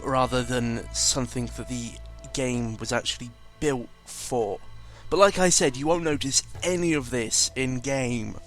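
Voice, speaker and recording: A man's voice: mid-range voice, a little slow, hardly any pauses, soft background music. A man giving casual, rambling game commentary, informal and sweary, often correcting himself as he goes. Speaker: gaming video commentator.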